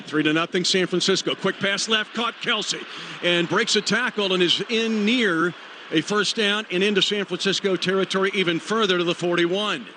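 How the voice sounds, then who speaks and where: A man's voice: sits high, moderate pace. An American man calling live football play-by-play on the radio in clipped, urgent phrases. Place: stadium broadcast booth. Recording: noisy live stadium radio broadcast.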